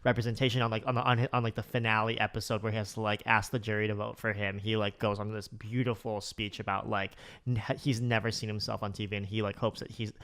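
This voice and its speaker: A man's voice: lowish voice, level tone, quick pace, fairly bright tone. A man co-hosting a podcast in casual chat, rambling and enthusiastic with lots of "like" and restarts. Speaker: podcast co-host.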